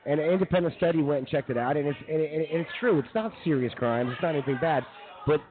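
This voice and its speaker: A man hosting a live comedy show, running through news stories in a casual, halting, chatty way. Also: on the high side, phone-quality sound, music in the background. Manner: halting, informal, chatty delivery.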